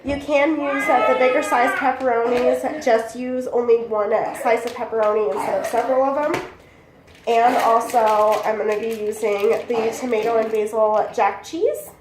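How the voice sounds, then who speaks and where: A woman's voice: fairly high pitch, steady tone, normal speed. A young woman with a congested voice from bronchitis, chatting casually as she demonstrates a recipe in her home kitchen. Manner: chatty and rambling, talks while working. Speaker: cooking channel host. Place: small home kitchen, indoors. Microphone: camera mic from a few feet away. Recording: echoey kitchen with background noise.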